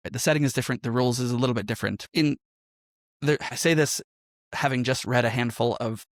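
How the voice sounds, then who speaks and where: A man's voice: medium pitch, quick pace, dry recording, clean studio sound. A man talking conversationally as an interview guest about his work as a game master.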